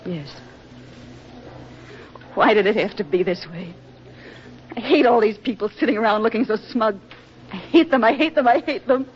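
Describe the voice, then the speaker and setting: A woman's voice: fairly high pitch, slight background noise, close to an everyday voice. An actress playing an anguished, lovelorn young woman in a 1940s radio drama, with an earnest, emotional and melodramatic delivery. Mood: anxious, then bitter anguish. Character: lovelorn, anguished young woman awaiting her soldier sweetheart. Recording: muffled vintage radio recording with music.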